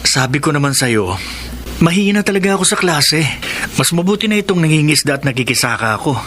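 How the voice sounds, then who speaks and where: A dutiful, teasing big brother in a radio drama. His voice sits a little high for a man, with faint ambience behind it. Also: expressive, fairly quick, fairly bright tone, slight background noise. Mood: self-deprecating. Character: dutiful, teasing twenty-year-old older brother. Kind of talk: Tagalog radio drama acting.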